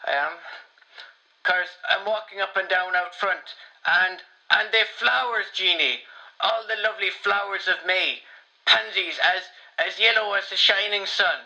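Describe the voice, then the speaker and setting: An actor playing a shy, wistful elderly Irish man in a radio play, halting and repeating himself as he rambles. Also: high-pitched, creaky, bright, forceful tone, full character voice. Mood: hesitant, friendly. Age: older. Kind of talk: radio play voicemail monologue. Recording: dull, lo-fi voicemail sound.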